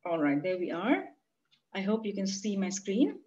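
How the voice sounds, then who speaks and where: A woman in her forties with an Indian accent, giving a prepared academic conference talk in a measured, earnest way over a video call. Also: medium pitch, very animated, dark tone. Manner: measured, earnest, read from notes. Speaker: conference presenter. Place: home room, video call. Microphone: computer mic on a video call. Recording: muffled lo-fi video call audio.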